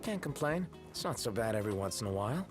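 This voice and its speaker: A man's voice: fairly low pitch, big pitch swings, fast, music in the background. A man voicing a laid-back young prince in a video game, casual and dry with an American accent. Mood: dryly teasing. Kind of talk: video game cutscene voice acting.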